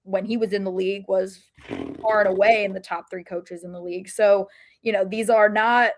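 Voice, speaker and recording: A woman's voice: medium pitch, steady tone, moderate pace, slight background noise. A woman speaks as a sports podcast co-host. Her delivery is casual and thoughtful, with an ordinary mid-range voice and frequent self-corrections as she works through her analysis.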